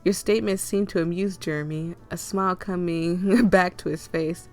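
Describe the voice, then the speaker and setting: A woman with a cold and a hoarse, fading voice, reading a visual novel aloud with chatty asides. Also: fairly low pitch, steady tone, moderate pace, a little mellow, faint music behind. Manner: chatty, laughs and coughs often, rambling asides. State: hoarse, losing her voice with a cold. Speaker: game reader and streamer.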